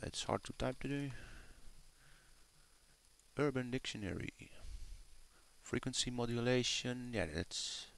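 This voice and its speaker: A man's voice: medium pitch, a little slow, vocal fry, pauses often, a bit bright. A man giving casual, rambling, self-deprecating commentary as a YouTuber over a screen recording.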